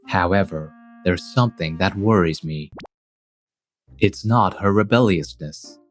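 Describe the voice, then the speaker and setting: A man playing a mild, wistful adult guardian, narrating a manga dub in a measured, reflective way. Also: sits low, faint music behind. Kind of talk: scripted first-person manga dub narration.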